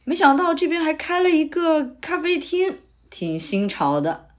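A woman's voice: sits high, sing-song, moderate pace. Woman playing a chatty eager male playwright. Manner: chatty, persuasive, explaining ideas. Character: earnest middle-aged male playwright, eager pitcher. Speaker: playwright character. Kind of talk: audio drama acting.

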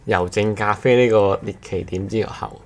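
A man speaks in a low-pitched voice as a podcast co-host. He chats casually, with a measured, explanatory way of talking. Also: steady tone. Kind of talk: casual chat.

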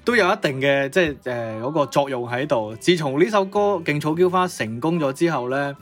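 A man's voice: medium pitch, animated, brisk. A man co-hosting a chatty music-history programme in an exclamatory, reactive way. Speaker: podcast co-host. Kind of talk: conversational music-history commentary.

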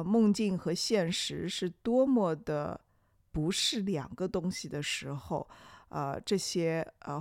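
A podcast host talks reflectively and conversationally about film, musing hesitantly with drawn-out pauses. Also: mid-range voice, dry recording, studio quality.

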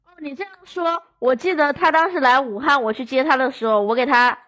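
A woman with a fairly high voice talks as a guest on a podcast. Her style is casual and conversational, with playful teasing and back-and-forth banter. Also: brisk, sharp tone. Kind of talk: casual chat.

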